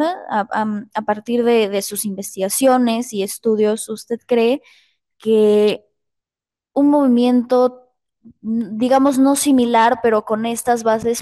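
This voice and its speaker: Una mujer con acento mexicano, del público, que agradece con entusiasmo la charla y formula una pregunta entre titubeos y repeticiones. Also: female voice, a little high, mellow, dry recording. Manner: earnest, halting with repeated words. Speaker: audience member. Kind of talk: asking a question after a lecture.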